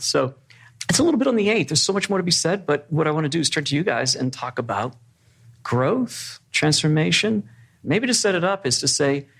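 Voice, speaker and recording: A man's voice: mid-range voice, vocal fry, a bit bright, slightly rough. A man hosting a podcast panel, speaking thoughtfully and setting up questions for the guests. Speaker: podcast host. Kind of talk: reflective podcast discussion.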